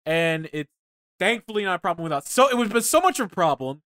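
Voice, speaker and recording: A man's voice: high voice, very animated, fast talker, fairly bright tone, no echo, studio quality. A man co-hosting a podcast, chatting casually about movies over a voice call. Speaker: podcast co-host. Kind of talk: casual film podcast chat. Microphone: voice call recording.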